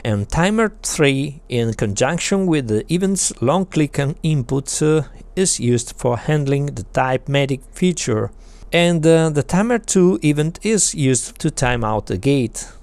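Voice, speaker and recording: A middle-aged man gives a technical walkthrough at a very unhurried pace. His voice has a strong vocal fry at a medium pitch. He phrases things haltingly and carefully, recorded close and dry. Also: lively intonation, darkish tone, no echo. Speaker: maker presenting an electronics project. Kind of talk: technical explanation of a homemade device.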